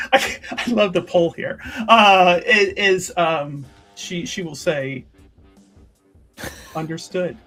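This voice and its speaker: A man improvising third-person narration as a tabletop roleplaying player, casual and halting, often correcting himself. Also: high-pitched, bright, forceful tone, faint music behind.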